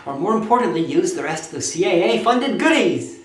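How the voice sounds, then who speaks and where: Animated, sarcastic review host in a roomy space. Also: mid-range voice, fairly quick. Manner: sarcastic, theatrical, mock-imperious. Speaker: comedic film review host. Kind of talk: scripted comedic review commentary. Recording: roomy echo, faint background noise.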